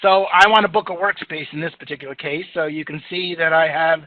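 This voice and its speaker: A man with a booming voice walking through a software demo in an easygoing, chatty way with lots of fillers. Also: fairly quick, no echo. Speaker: software demonstrator. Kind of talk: software screen-share demo. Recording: tinny phone-like audio, dry.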